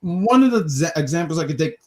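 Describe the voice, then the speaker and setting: A man with a highly animated voice that swoops up and down. He co-hosts a review show in loose, casual chat. He teases and jokes, rambling and repeating phrases as he makes his point. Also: fast talker. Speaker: co-host of a wrestling review show. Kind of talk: casual chat, opinionated commentary.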